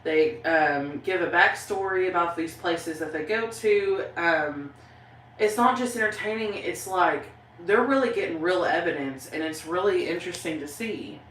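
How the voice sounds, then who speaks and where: A woman's voice: on the low side, normal speed, a bit bright, echoey room, slight background noise, rough recording. A woman with a Southern Kentucky accent chatting casually on a livestream, rambling with lots of fillers and laughing often. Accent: Southern American (Kentucky). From southeastern Kentucky, USA. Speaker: paranormal channel host reading live chat.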